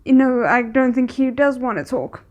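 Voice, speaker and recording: A woman hosts a reaction video in a higher-than-average voice. She talks casually as commentary, with a squeamish, dryly sarcastic manner. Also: animated, normal speed. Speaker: host of a reaction video channel.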